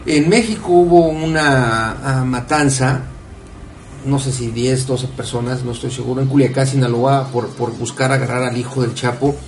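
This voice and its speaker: Radio co-host, male, mid pitch, rambling questions, roomy sound a bit off mic. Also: fairly even intonation, normal speed, recorded from a distance. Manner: long winding questions with many fillers. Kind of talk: radio interview. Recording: echoey room, off mic, faint noise.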